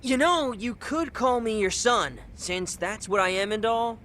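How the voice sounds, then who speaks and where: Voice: mid-range voice, a little slow, a bit bright, a little hiss or hum, lightly acted. An actor playing a cheeky but earnest teenage boy in a video game dub, talking in offhand, youthful slang.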